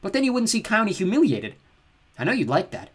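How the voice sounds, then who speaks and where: A man's voice: high-pitched, wildly swooping pitch, quick pace, slightly rough, pitched above the performer's own voice, exaggerated voice. A man voicing a brave, persuasive teenage demigod girl in an audiobook reading. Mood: coaxing. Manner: earnest, coaxing.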